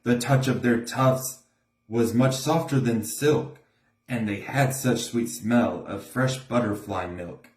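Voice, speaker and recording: A man's voice: medium pitch, takes it slowly, a little mellow. A man reading a rhyming children's storybook aloud as narrator. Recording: roomy, echoing room sound.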